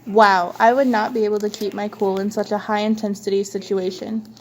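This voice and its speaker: A woman's voice: mid-range voice, little pitch movement, a little fast, a little mellow, a little hiss or hum, low-quality recording. A woman with a mid-range voice works as a news co-presenter. She reads prepared copy aloud in the format of a scripted report.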